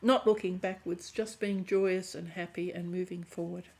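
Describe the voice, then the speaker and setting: A woman giving a chatty tarot reading to camera, hoarse and often clearing her throat as her voice fades. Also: lowish voice, steady tone, normal speed, a little mellow. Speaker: tarot reader.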